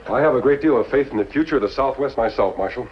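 An actor playing a courteous, resolute masked western hero with formal manners in a 1950s television show. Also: male voice, medium pitch, quick pace, lightly acted. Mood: earnest, courteous. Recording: muffled, noisy old television soundtrack.

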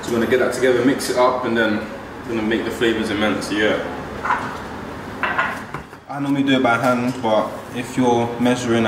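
A young man chatting casually as he cooks, explaining each step. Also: medium pitch. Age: young adult. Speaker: young footballer cooking. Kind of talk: casual cooking vlog chat. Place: large modern home kitchen. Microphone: far camera mic. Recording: echoey kitchen, distant mic, noisy.

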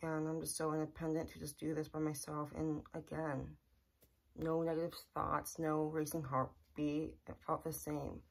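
A woman's voice: low-pitched, flat delivery, moderate pace, creaky, poor audio. A young woman talking earnestly and plainly to the camera in a casual personal vlog from a small bedroom. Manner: earnest, repetitive, plain-spoken. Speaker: vlogger. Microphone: camera in front at close range.

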